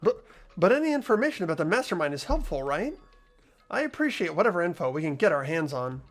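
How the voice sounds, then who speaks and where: Amateur acting a hesitant schoolboy, earnest, lively intonation, thinking aloud, visual novel game, faint music behind. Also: mid-range voice, fast talker. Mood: tentative, earnest. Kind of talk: visual novel voice-over reading.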